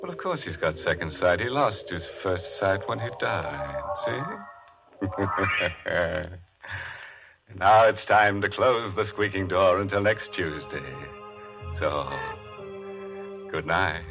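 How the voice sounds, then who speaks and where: An actor playing a gleefully morbid, mock-solemn horror host in the style of 1940s radio. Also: male voice, sits low, expressive, slow pace, pauses often, music in the background, heavily acted. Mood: gleefully ghoulish. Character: ghoulish, gleeful male horror host cracking morbid jokes. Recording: dull old radio recording.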